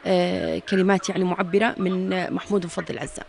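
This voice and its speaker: A woman with a Jordanian accent hosting a radio interview, filling pauses with long drawn-out sounds and regularly affirming her guest. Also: fairly low pitch, creaky, slight background noise. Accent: Jordanian Arabic. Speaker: radio host.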